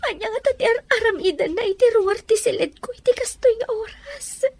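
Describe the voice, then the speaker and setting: A woman's voice: very high voice, expressive, fairly quick, darkish tone, no echo. A woman playing a sweet, long-suffering young student in an audio drama, speaking politely and plaintively. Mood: distressed.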